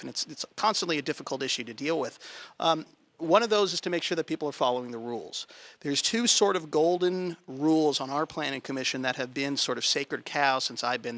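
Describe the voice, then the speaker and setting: A man's voice: fairly high pitch, a bit bright, dry recording. A man from California speaking as a planning commissioner to a local group, in a conversational, plainspoken way with emphatic key points. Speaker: planning commissioner speaking at a political club meeting. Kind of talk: public talk to a local group. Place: meeting room. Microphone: handheld microphone.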